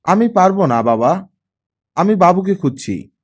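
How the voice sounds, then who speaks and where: Audiobook role: indignant young teen girl, acted by a man, high-set for a male voice, swinging intonation, argumentative. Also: sing-song, unhurried, slightly rough, heavily acted. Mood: indignant, hurt. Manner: indignant, protesting, questioning back. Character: pouty, argumentative teenage girl, aged about fourteen. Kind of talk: audiobook character acting.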